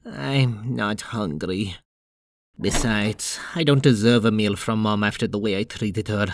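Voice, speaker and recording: A man playing an anxious, guilt-ridden younger-brother plumber in an animated comic dub, sighing and putting himself down. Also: on the low side, unhurried, dry recording, studio quality. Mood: dejected. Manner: sighing, self-deprecating.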